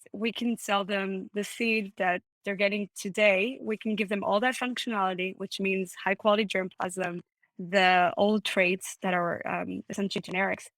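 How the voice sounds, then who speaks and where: A woman's voice: mid-range voice, fairly bright tone, no room sound, poor audio. Articulate woman on a dry video call. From Israel. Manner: articulate, explanatory. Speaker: startup CEO guest. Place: home room, indoors.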